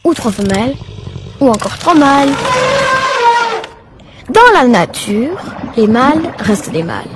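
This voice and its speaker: A woman reading scripted educational narration in a measured, didactic way. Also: a little high, very animated, very noisy. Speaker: documentary narrator. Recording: music clearly behind the voice. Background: music.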